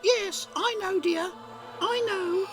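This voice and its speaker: A woman voicing a chirpy talking female beaver shopkeeper in a high, sing-song voice who calls everyone dearie, in a storybook reading. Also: takes it slowly, music in the background.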